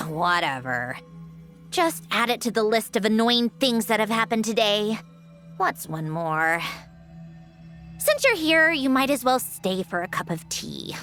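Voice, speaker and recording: A weary female inventor in a game, slow and sighing with a dry edge. Her fairly high voice rises and falls widely. Faint music sits behind her. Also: sing-song. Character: weary, sardonic female inventor, tired and grieving. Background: soft game music.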